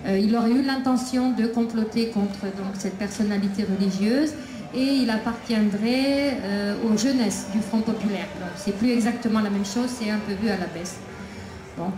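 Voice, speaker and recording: A woman speaking at a festival debate about her imprisoned son, talking haltingly with many pauses and restarts. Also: mid-range voice, over music. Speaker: prisoner's mother, invited speaker. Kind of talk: public talk at a debate. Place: outdoor festival debate tent. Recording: echoey PA with crowd ambience.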